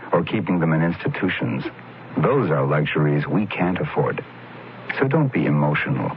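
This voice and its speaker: A male announcer with an extremely deep voice makes a matter-of-fact public service appeal. The recording is dull and lo-fi, with a faint hiss.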